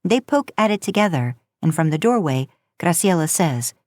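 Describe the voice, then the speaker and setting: A woman's voice: on the low side, big pitch swings, normal speed, darkish tone, dry recording, studio quality. A woman reading a story aloud in an informal, conversational audiobook style. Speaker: podcast host narrating fan fiction.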